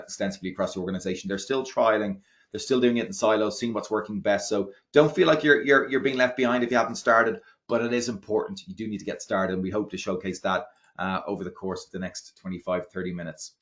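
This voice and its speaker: Fast-talking Irish man, lo-fi webinar audio. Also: fairly low pitch. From Ireland. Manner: energetic, chatty, tags sentences with "right?". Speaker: webinar presenter, product marketing executive. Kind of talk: conversational webinar presentation.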